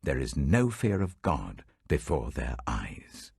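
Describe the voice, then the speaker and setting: A man giving a measured, dramatic reading of Bible passages in a close studio recording. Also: vocal fry, breathy edge, no room sound. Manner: measured, dramatic, lively pitch shifts. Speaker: Bible reader. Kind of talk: expressive scripture reading.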